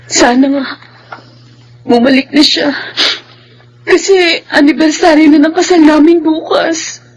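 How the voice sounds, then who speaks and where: Anguished devoted wife in filipino radio drama, super high pitch, slow n pleading. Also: female voice, steady tone. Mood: tearful, prayerful. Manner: slow, pleading, emotional delivery.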